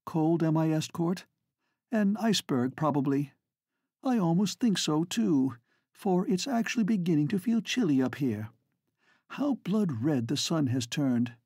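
An earnest, proud yet tender lady speaks in a lifted voice, as a male narrator puts her on. She pleads with warmth and feeling, the tone kept mellow and a little husky. The sound is close and dry. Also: a little high, lively intonation, measured pace, no room sound, voice pushed up, heavily acted. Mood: teasing, then calm.

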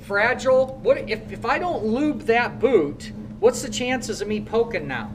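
A man's voice: extremely high pitch, expressive, measured pace, noisy background. A man in his thirties with a Midwestern American accent, teaching motorcycle repair hands-on and quizzing his students as he works. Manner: coaching, asks students questions. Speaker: motorcycle technician instructor. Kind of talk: hands-on shop demonstration.

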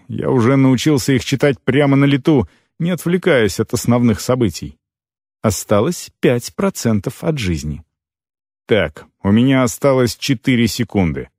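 A man with a mid-pitched voice narrates a fantasy audiobook in the first person. His delivery is scripted yet expressive and dramatic, telling the story as the hero. The sound is very dry, with no room around the voice. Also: no room sound.

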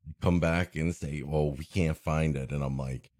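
A man's voice: extremely low pitch, fairly even intonation, normal speed, a little mellow, no echo, studio quality. A man co-hosting a comedy podcast, riffing wryly in casual banter with mock exasperation. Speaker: podcast co-host. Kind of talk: comic banter, casual chat.

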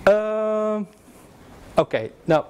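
A man's voice: high-pitched, animated, drawn-out words, a little hiss or hum. A young adult man giving an informal security lecture to a class, joking with many fillers and restarts, recorded by a far camera in a classroom. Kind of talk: informal technical lecture with slides. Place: classroom with projector screen.

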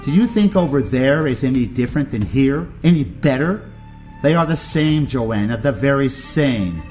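Man acting a scheming uncle with wildly swinging pitch. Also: animated, normal speed, darkish tone, faint music behind, natural voice. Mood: cold, dismissive. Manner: theatrical, declamatory, condescending. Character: scheming, contemptuous aristocratic uncle, ruthless council founder. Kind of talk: audio drama acting.

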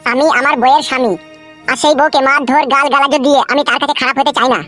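A man's voice: very high voice, steady tone, quick pace, pushed and bright, faint music behind. Man playing a timid, simple-hearted cartoon husband. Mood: resigned, fearful. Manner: naive, fearful, protesting. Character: timid, simple-hearted henpecked husband, scared of his boss. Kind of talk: amateur comedy cartoon dub.

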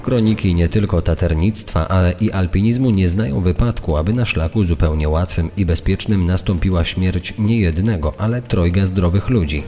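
A man playing an indignant journalist, reading an old press article in a formal, measured, accusatory manner. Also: low voice, mellow, natural voice. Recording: long hall-like reverb.

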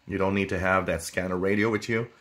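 A man presenting radio gear in a casual, unscripted way, with halting, hesitant phrasing. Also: sits low, steady tone, a bit bright, slight background noise. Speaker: amateur radio video presenter. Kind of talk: casual product talk, unscripted.

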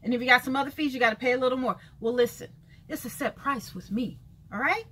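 A 51-year-old woman vlogging a sassy, emphatic rant from a bright room with books, repeating lines for effect and calling listeners baby and honey, gesturing as she talks. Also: high-pitched, fast, breathy edge. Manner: lively hand gestures while talking. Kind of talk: casual talking-head rant. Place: bright room with shelves of books by a window, indoors.

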